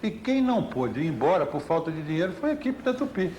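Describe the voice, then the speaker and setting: A man's voice: a little high, very animated, quick pace, a little mellow. A Brazilian man giving unscripted explanations, posing rhetorical questions and answering them himself. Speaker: interviewed commentator on media history. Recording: faintly noisy old TV interview.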